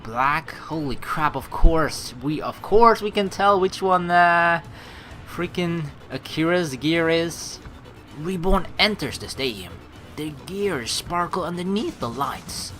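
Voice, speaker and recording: A man reading a game aloud as a let's play host, with excitable commentary and dramatic narration. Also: fairly high pitch, slow, soft background music.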